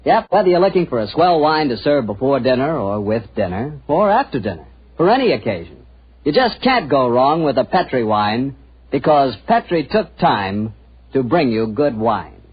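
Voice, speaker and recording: An American man announcing and pitching for a sponsor in 1940s radio style, folksy and salesman-like. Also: very animated, moderate pace, breathy edge. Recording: muffled 1940s radio with music behind.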